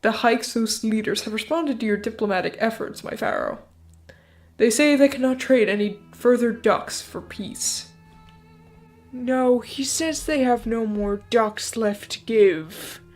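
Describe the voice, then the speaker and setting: A woman performing a comedic dub of a webcomic with a brisk, playful delivery and sudden loud outbursts. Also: on the high side, soft background music. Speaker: fan dubber voicing a webcomic. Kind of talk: comedic comic dub, quick skits.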